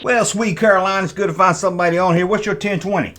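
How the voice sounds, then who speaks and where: A man's voice: high voice, fairly even intonation, quick pace, fairly bright tone. A folksy man hosting a toy review, chatting casually with playful CB slang and repeating himself. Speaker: toy review video host. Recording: faint background noise.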